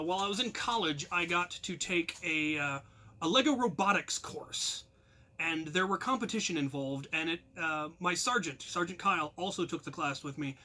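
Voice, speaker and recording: Bright, high-pitched man telling stories. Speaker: storyteller, channel host. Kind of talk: casual anecdotal storytelling to viewers.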